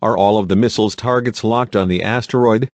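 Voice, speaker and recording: A man playing a commander in charge of a missile launch in an amateur scripted disaster drama, with a husky voice. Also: lowish voice, steady tone, brisk, darkish tone, no room sound, lightly acted. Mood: commanding.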